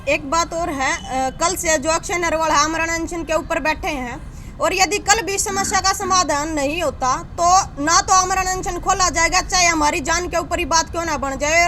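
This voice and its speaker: A woman's voice: very high voice, pushed and bright, a little hiss or hum. A woman speaking as an activist spokesperson, giving a statement to reporters. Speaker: activist spokesperson at a press briefing.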